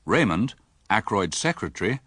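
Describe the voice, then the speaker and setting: An actor playing a mild village doctor in a radio drama, speaking and narrating in a measured, matter-of-fact way. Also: male voice, lowish voice, sing-song, a bit bright, slight background noise. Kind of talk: radio drama dialogue and first-person narration.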